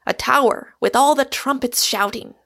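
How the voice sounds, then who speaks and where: A woman's voice: high voice, normal speed, vocal fry, bright, forceful tone, voice pushed up, exaggerated voice. A woman voicing an exuberant, argumentative Irishman, a storybook suitor who declaims with great emphasis. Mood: impassioned.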